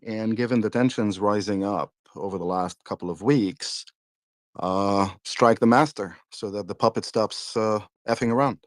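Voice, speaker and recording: A man giving a measured analysis in a casual chat, pausing with fillers. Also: on the low side, no echo, clean studio sound. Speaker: guest analyst.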